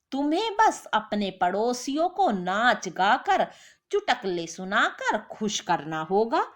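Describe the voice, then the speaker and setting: A woman voicing an exasperated working wife in an animated moral story, firm and sarcastic, scolding with questions. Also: mid-range voice.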